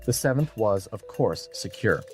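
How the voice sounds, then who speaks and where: A man reading scripted adventure fiction as an audiobook narrator, in a dramatic, measured storytelling manner. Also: lowish voice, very animated, soft background music.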